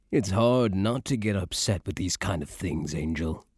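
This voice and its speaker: A man playing a cocky young mafia heir who is a doting but menacing boyfriend, in an intimate ASMR roleplay with slow, smug tough-guy slang. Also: deep voice, expressive, darkish tone, slightly rough, no room sound, clean studio sound, full character voice. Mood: smug, disdainful.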